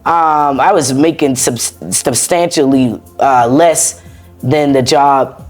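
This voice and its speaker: Animated American woman telling her story. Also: a bass voice, takes it slowly, faint music behind. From United States. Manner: animated, repeats words, laughs often. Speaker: interview guest, former soldier. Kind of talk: casual conversational storytelling.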